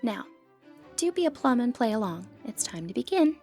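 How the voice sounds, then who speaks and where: Woman acting a flirtatious high-voiced lady thief. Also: very animated, moderate pace, a little mellow. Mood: warm, confiding. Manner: playful, teasing, genteel old-fashioned phrasing. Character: flirtatious, confident lady thief of noble upbringing. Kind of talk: ASMR fantasy romance roleplay acting. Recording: background music under voice.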